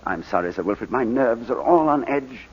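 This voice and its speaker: An actor playing an anguished, edgy baronet in a 1940s radio drama, strained and stammering when agitated. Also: male voice, medium pitch, expressive, brisk, a little hiss or hum, lightly acted. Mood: agitated, weary. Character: anguished, edgy English baronet accused of imposture. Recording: muffled old 1940s radio recording.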